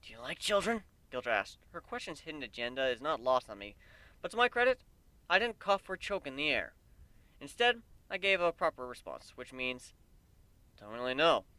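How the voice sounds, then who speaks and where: A man narrates a first-person fantasy story in an expressive, wry way. His voice is on the high side, bright and very animated, recorded close and dry. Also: brisk, smooth, no room sound. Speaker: amateur story reader.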